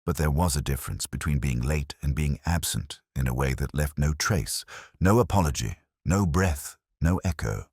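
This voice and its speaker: An actor playing a world-weary, literate older police inspector, narrating his case in a measured, wry, reflective way. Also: extremely low pitch, animated, a little mellow, breathy edge, no room sound, clean studio sound, exaggerated voice. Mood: brooding. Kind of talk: scripted first-person detective narration.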